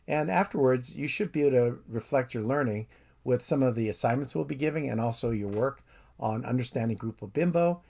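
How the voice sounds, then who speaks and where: A man's voice: mid-range voice, creaky. A professor in his fifties giving a methodical, recorded introduction to his course. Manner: steady, methodical explainer.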